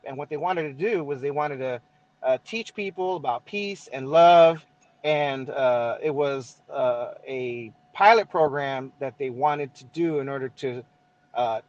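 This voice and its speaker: A man's voice: a little high, darkish tone, no room sound, highs cut off. A man with a Mexican-American East Los Angeles accent, co-hosting a casual podcast chat, swearing freely and checking in with "right?" as he explains. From Southern California. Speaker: podcast co-host.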